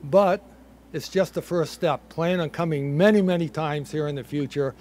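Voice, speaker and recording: An older man with a Boston accent giving a measured, reflective ceremony speech from a podium, with a light touch of humor. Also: on the high side, a little mellow, a little hiss or hum. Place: ceremony in a building under construction.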